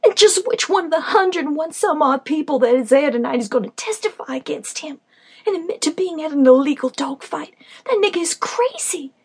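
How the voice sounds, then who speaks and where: A woman's voice: high-pitched, expressive, fairly quick, hardly any pauses, voice pushed up. A woman voicing a battered young woman who is crying and pleading frantically. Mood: frantic.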